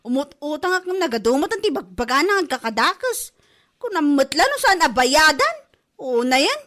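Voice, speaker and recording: A woman's voice: high-pitched, big pitch swings, moderate pace, a bit bright. A woman playing a shameless, gossipy debtor with a Filipino accent, whining and complaining in a comedy drama. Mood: indignant griping. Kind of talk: comedy radio-drama acting.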